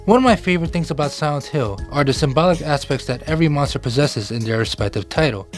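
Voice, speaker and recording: A man's voice: fairly high pitch, expressive, fast talker, soft background music. A man hosting a video essay, delivering scripted narration.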